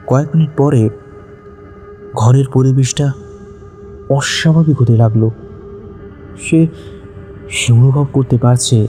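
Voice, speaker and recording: A man's voice: mid-range voice, warm tone. A man narrating a scripted dramatic audio story. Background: background music.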